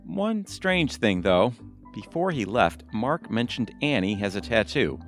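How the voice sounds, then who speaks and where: Goofy, wildly animated husband. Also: male voice, medium pitch, a little slow, faint music behind. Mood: goofy, earnest. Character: cheerful, goofy, enthusiastic husband in comedy. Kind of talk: comic audio theatre acting.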